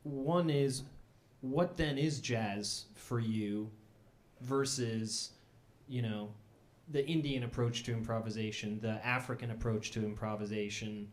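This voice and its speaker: A man's voice: mid-range voice, unhurried. Male interviewer, mid-range voice, thoughtful and long-winded. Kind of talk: interview questions.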